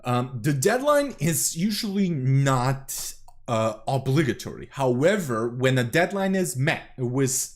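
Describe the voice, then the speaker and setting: A young man from Serbia, rambling through casual reaction commentary as a streamer, swearing often and correcting himself. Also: mid-range voice.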